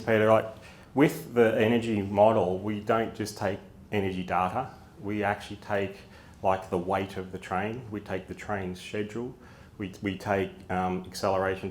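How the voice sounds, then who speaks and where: A man on a panel explaining a technical model, walking through examples step by step. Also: on the low side. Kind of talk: panel discussion.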